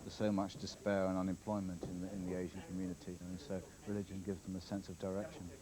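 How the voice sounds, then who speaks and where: A man's voice: low-pitched, flat delivery, quick pace, a crowd in the background, poor audio. A man giving thoughtful, explanatory interview answers. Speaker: writer interviewed.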